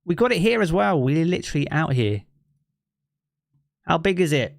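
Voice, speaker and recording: A man's voice: fairly high pitch, sing-song, moderate pace, vocal fry, no echo, studio quality. A man from southeast England with a local accent, chatting casually with his live-stream audience from his living room and reading chat aloud. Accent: southeast English. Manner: chatty and easygoing, thinks aloud with many fillers. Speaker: game streamer. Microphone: streaming mic with effects.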